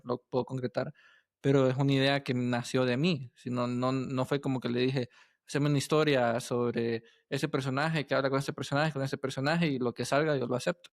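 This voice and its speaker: A man hosting a podcast in casual conversation, speaking haltingly as he searches for his words. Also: mid-range voice, no echo, clean studio sound. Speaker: podcast host.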